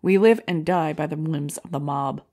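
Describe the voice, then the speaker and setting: A woman's voice: deep voice, normal speed, mellow. A woman playing a bitter, cynical comedy circuit performer in an audio drama, jaded and dramatic.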